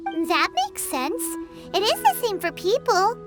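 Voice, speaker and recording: A woman voicing a small floating fairy companion who is excitable, bossy and refers to herself in the third person. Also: very high voice, a little slow, full character voice. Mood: chirpy. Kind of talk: video game voice acting. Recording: game audio with loud music.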